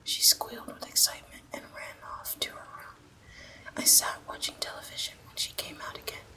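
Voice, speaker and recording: A woman narrating a horror story as ASMR in a breathy, unvoiced whisper, with hushed, deliberate delivery.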